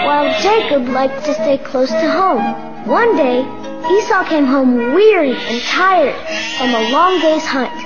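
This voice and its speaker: A woman reading scripted narration for a children's Bible story. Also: high voice. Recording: dull audio with music behind. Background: music.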